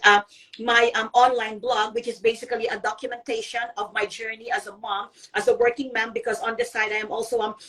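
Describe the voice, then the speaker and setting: Woman from the Philippines, middle-aged, earnest, hesitant, slightly high pitch, distant call audio. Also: fairly bright tone. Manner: earnest, many ums and restarts. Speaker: guest blogger. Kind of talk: live video interview. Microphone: video call.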